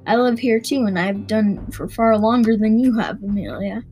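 A woman's voice: on the high side, normal speed, soft background music, close to an everyday voice. Woman reading as a surly one-eyed handyman. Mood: irritated. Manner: calm, confrontational.

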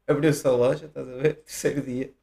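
A man's voice: medium pitch, level tone, brisk, vocal fry, dark tone. A young man with a central Portuguese accent, chatting casually as a podcast co-host, telling stories loosely and swearing freely. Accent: central Portuguese, Viseu. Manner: loose storytelling, acts out quoted people, swears freely. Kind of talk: casual chat.

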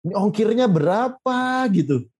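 Man, Jakarta accent, fairly high but husky voice, casual informal podcast chat. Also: expressive, moderate pace, a little mellow. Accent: Indonesian, Jakarta colloquial. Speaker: podcast panelist.

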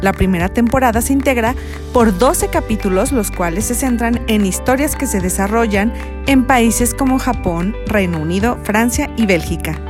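A woman radio presenter with a Mexican accent reads a scripted introduction in a measured, formal way. Her voice sits slightly high. Clear background music runs under her words. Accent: Mexican Spanish. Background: music bed.

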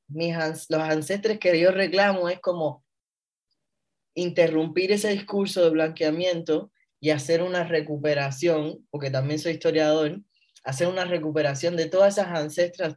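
A man in his thirties with a Cuban accent, a guest on a radio interview, speaking reflectively with many pauses and fillers while he searches for words. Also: a little high. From Cuba. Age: 30s. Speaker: guest, historian.

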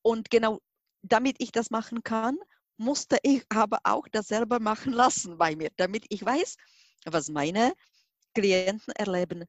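A woman's voice: a little high, unhurried, no echo. A middle-aged Czech woman with a Czech accent gives a personal account as an interview guest, searching for her words with emphasis. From Czech Republic. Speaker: interview guest, hypnosis and regression therapist.

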